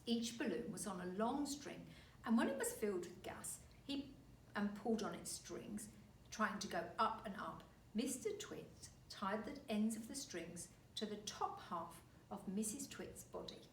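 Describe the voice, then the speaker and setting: A woman reading a children's story aloud like a teacher, expressive and deliberate. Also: medium pitch. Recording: roomy, distant mic, faint noise.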